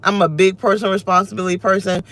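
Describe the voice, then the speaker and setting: A woman's voice: mid-range voice, little pitch movement, rapid-fire, fairly bright tone. A woman in her thirties, with a scratchy voice, venting and restlessly interrupting herself into a phone camera while she sits in her car. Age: 30s. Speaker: vlogger. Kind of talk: unscripted personal vlog rant. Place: inside her parked car. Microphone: phone camera held close.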